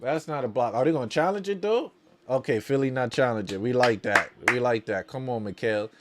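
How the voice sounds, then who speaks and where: A man's voice: mid-range voice, lively intonation, fairly quick, background noise. A man hosting a watch-along, calling basketball live as a partisan fan in slangy talk, often repeating phrases for emphasis.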